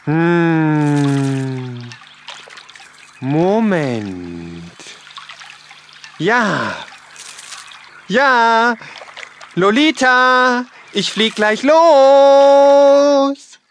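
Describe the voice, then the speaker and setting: A woman voicing an easygoing, playful young boy dragonfly in a children's storybook, with a hesitant, drawn-out delivery. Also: mid-range voice, expressive, slight background noise. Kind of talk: children's audiobook character acting.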